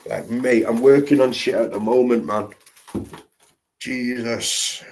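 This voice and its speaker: A man's voice: mid-range voice, fairly even intonation, takes it slowly, darkish tone. A man hosting a live stream, chatting casually in slangy, rambling talk full of restarts.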